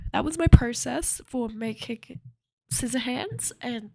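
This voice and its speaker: A young woman vlogging in a chatty, rambling way, with a slightly croaky voice and frequent laughter. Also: a little high, no room sound. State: a little croaky. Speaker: cosplay vlogger. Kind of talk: casual chat to camera.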